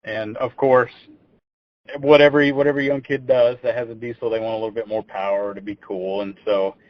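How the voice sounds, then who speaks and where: A man's voice: mid-range voice, little pitch movement, fairly quick, no room sound. A man, telling his story as a podcast guest in a rambling, casual way. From Texas. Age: 20s. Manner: rambling, repeats words when starting phrases. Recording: dull lo-fi audio.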